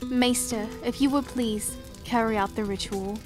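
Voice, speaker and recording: A woman's voice: a little high, fairly even intonation, a little slow, lightly acted. A woman playing a regal young queen. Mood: resolute. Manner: formal, regal and earnest. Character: young grieving queen, dignified and resolute. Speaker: newly crowned queen. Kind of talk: fantasy audio drama acting. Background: music.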